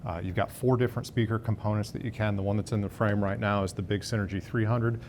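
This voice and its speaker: A man's voice: fairly even intonation, fast, darkish tone, slight background noise. A man in his fifties, a sales director, giving a fluent product rundown in a hotel demo room. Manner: fluent, confident sales pitch.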